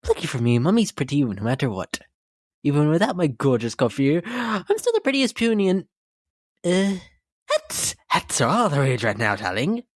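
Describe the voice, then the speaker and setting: A woman's voice: sits low, huge pitch swings, moderate pace, no room sound, studio quality, full character voice. A woman voicing a vain, theatrical, unhinged lady unicorn pony from a cartoon, with an affected, haughty manner, in a dramatic fan reading. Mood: vain, preening. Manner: affected, haughty, says darling. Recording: music behind the voice.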